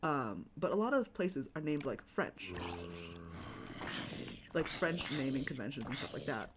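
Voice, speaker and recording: Lively, rambling streamer with a Chicago accent. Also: medium pitch, a little slow, noisy background. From United States. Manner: rambling, chatty, talks to game mobs. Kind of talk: casual live-stream chat while gaming.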